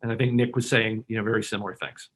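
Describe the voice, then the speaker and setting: A man's voice: fairly low pitch, steady tone, quick pace, fairly bright tone. Earnest man arguing a point on a panel. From Hong Kong. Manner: earnest, persuasive, many restarts and fillers. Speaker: panelist, bank executive. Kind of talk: webinar panel discussion.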